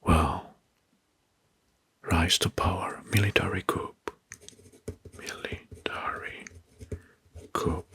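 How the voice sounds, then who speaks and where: A man narrating facts as an ASMR host in a hushed, breathy whisper, deliberately repeating phrases. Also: sits low, fairly even intonation. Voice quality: breathy, mostly unvoiced whisper.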